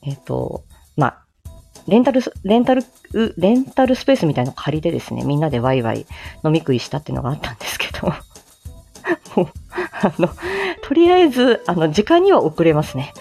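A woman's voice: low voice, very animated, brisk, creaky, dark tone, husky, faint music behind. A woman from Japan chatting casually as a livestream host, in a giggly, self-deprecating manner. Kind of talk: casual morning livestream chat.

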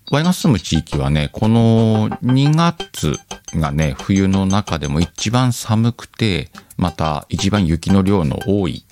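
Very deep-voiced man chatting over music. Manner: rambling, self-deprecating, laughs at himself. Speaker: host of an audio show. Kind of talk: casual solo chat. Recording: music behind the voice. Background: background music.

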